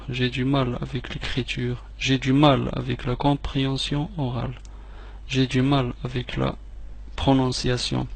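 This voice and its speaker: A man whose first language is Arabic leads a language lesson as a patient drill. He says each phrase slowly and repeats it, then rattles off the translation. His middling voice has a gravelly fry. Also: mid-range voice. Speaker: French teacher.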